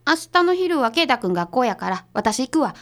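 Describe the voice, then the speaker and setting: A woman's voice: fairly high pitch, very animated, normal speed. A woman playing a blunt, short-tempered female detective's assistant in a radio drama, with a Kansai accent. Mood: decisive. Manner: blunt, bossy.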